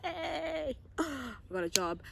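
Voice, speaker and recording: A woman's voice: high voice, slow pace, creaky, a little hiss or hum. Bubbly young Zimbabwean woman vlogger. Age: young adult. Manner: bubbly, giggly, chatty and animated. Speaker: YouTuber. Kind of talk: casual get-to-know-me chat.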